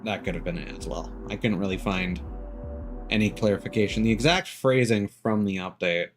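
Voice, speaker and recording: A man chatting casually on a live game stream, thinking aloud in a halting way. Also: lowish voice, over music. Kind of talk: casual live-stream chat while gaming.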